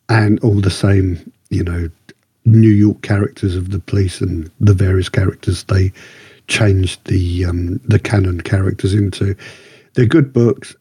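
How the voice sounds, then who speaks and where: A man with a relaxed, husky voice chats through a review on a podcast, recorded dry and close. He rambles enthusiastically, often correcting himself as he goes. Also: lowish voice, dry recording. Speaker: podcast co-host reviewing books and radio dramas. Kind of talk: casual review chat.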